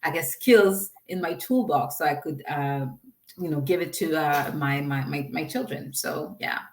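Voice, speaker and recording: Canadian woman guest, laughs a lot, lowish voice, roomy echo. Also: measured pace. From Quebec, Canada. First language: French. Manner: laughs often, warm and chatty. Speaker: singer-songwriter guest. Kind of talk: casual interview chat. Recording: echoey room.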